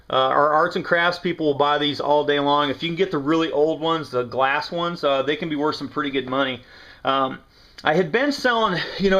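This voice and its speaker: A man's voice: on the high side, pushed and bright. An American man chatting casually to the camera in a laid-back, slangy, folksy way as he shows off his haul. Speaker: reseller showing yard sale finds. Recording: dull lo-fi camera audio.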